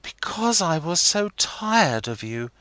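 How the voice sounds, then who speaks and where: A man voicing a playful, pouting young woman in a high, sing-song girlish voice. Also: big pitch swings, takes it slowly, pushed and bright, no room sound, heavily acted. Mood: playful, teasing.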